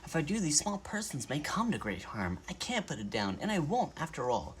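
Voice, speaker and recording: A man voicing a gentle, earnest elephant in a storybook, anxious and devoted, with a pleading manner. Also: a little high, wildly swooping pitch, brisk, a little hiss or hum. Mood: worried, resolute.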